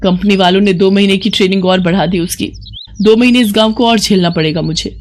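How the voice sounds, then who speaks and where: A narrator voicing a bratty, short-tempered young city daughter-in-law who scolds indignantly in an animated moral story. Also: medium pitch, brisk, slight background noise, lightly acted. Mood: indignant, scolding.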